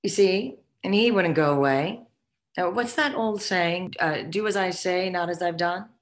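A woman's voice: lowish voice, measured pace, lightly acted. A woman playing the imperious, condescending ghost of a socialite boss in a theatrical comic table read over video call. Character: haughty, foul-mouthed ghost of a dead socialite boss. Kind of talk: virtual table read, comic stage acting.

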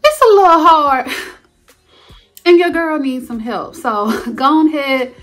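A chatty woman in her thirties talks straight to the camera from a carpeted bedroom at home. Her voice sits a little high, and she leaps up higher still to stress a point before dropping back to an easy, playful tone. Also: slow, faint music behind. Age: 30s. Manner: chatty and animated, sudden high jumps for emphasis. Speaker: lifestyle vlogger. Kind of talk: casual chat to camera.

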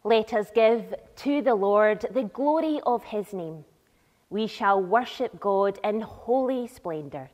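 A young woman leads worship in a measured, deliberate way. Her voice sits at a medium pitch and moves slowly. It rings with the long reverb of a large church interior. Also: darkish tone. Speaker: church service leader. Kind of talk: leading worship, welcome and prayer.

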